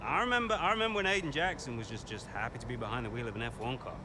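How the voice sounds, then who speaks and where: An actor playing a cocky, needling ex-racing driver in a video game, talking in a casual, matey way. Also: male voice, mid-range voice, racing, lightly acted. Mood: needling, frank. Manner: casual, hesitant fillers, matey. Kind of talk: dramatic game cutscene dialogue. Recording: clear background noise of cars outdoors.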